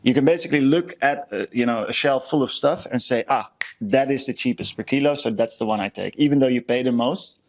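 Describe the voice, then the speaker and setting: A man with a Dutch accent speaks as a podcast co-host in a casual, conversational way. He hands out practical advice emphatically, often repeating words to drive a point home. His pitch sits in an ordinary middle range for a man. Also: a little fast, hardly any pauses. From Netherlands. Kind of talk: casual chat giving tips.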